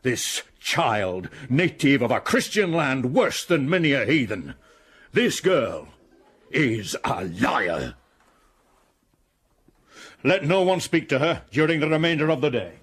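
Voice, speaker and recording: An actor playing a stern, sanctimonious middle-aged school patron in a radio drama, preaching at the pupils. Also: male voice, medium pitch, very animated, takes it slowly, long pauses, fairly bright tone, slightly rough, heavily acted. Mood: condemning.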